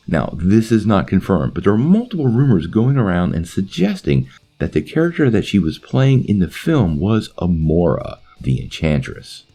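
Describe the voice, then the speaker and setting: Male commentary host: low, creaky voice, animated delivery addressed to online viewers. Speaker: host of a comic book movie channel.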